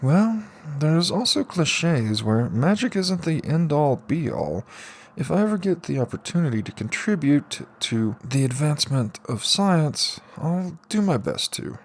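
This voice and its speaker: A man's voice: on the high side, sing-song, a little slow, voice pushed up, exaggerated voice. A man voicing a frustrated, self-mocking young man in an audiobook, muttering and complaining. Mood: musing. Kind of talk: audiobook character reading.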